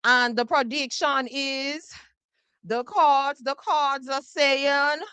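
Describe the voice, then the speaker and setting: A woman hosts a casual political livestream, reacting to her chat with jokes, laughter and plenty of swearing. Her voice sits somewhat high. It is recorded close and dry. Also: steady tone, slow pace, sharp tone, no echo. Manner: chatty, laughing, profane, teasing the chat. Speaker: podcast host.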